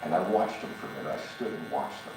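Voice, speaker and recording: Deep-voiced man in a buzzing, echoey space. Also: fast. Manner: emphatic, repeats phrases for effect. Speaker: podcast guest, businessman and motivational speaker. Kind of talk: motivational interview talk with personal stories. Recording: roomy echo, distant mic, steady electric buzz and hum.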